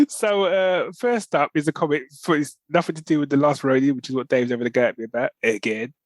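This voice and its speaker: A man's voice: a little high, no echo. A middle-aged British man co-hosting a podcast in an informal, chatty way, peppering his talk with matey asides. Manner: informal, often says man and you know. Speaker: podcast co-host. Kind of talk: casual chat.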